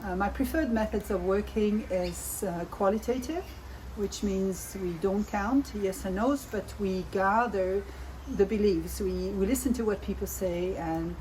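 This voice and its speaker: A woman's voice: mid-range voice, a little slow, darkish tone, a little hiss or hum, low-quality recording. A middle-aged woman from Belgium presenting research results as a project evaluator, speaking with many hesitations and restarts.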